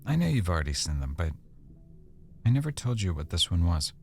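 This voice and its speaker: A man playing an affectionate, easygoing boyfriend in an intimate roleplay, speaking casually and softly with hesitant pauses. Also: sits low, sing-song, soft background music. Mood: fond, intimate. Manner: soft-spoken, casual, hesitant pauses and trailing thoughts. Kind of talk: boyfriend roleplay ASMR, one-sided intimate conversation.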